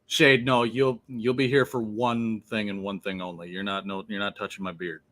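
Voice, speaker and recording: A man's voice: on the low side, flat delivery, normal speed, fairly bright tone. A man hosting a livestream from his laptop, chatting casually, laughing often and swearing freely. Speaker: livestream host. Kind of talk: casual chat. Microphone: laptop on a remote call.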